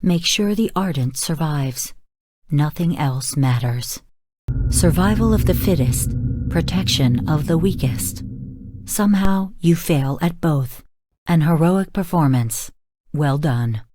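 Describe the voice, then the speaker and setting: Low, dark-voiced AI combat coach. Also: female voice, lively intonation, slow pace, no room sound, clean studio sound, exaggerated voice. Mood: exacting. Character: cool, exacting female AI combat coach, bluntly critical. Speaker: game announcer and coach. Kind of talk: scripted game voice lines.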